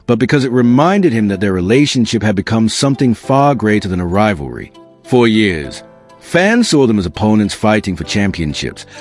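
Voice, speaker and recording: A man's voice: fairly low pitch, a little mellow. A man reading scripted documentary narration in an earnest, dramatic storytelling manner.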